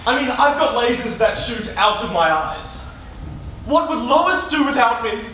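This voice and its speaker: A man's voice: very high voice, expressive, unhurried, sharp tone, exaggerated voice. Echo-drenched, vain, bumbling superhero played by a man. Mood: indignant, flustered. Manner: boastful, stammering, blurting and backtracking. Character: vain, bumbling male superhero barely hiding as a reporter. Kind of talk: broad comic stage acting. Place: live stage performance in a hall. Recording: echoey hall, distant camera mic, noisy.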